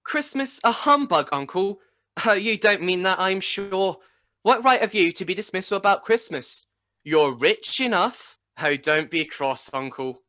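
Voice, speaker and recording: A cheerful young gentleman of a radio play, acted by a man, with a high, bright voice. He is kind-hearted and earnest, pleading his case with steady good humour. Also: measured pace, heavily acted. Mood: good-humoured protest.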